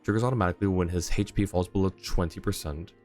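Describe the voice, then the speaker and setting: A man hosting a casual game livestream. His voice is quite deep, and he speaks conversationally, reading viewer comments aloud and replying. Music plays faintly behind him. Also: fast, darkish tone.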